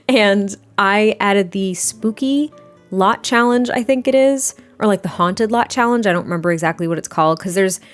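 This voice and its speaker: A young adult woman with a slightly hoarse, rough voice, chatting casually and rambling through a voiceover, sounding a little tired. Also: mid-range voice, normal speed, faint music behind. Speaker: Sims speed build creator.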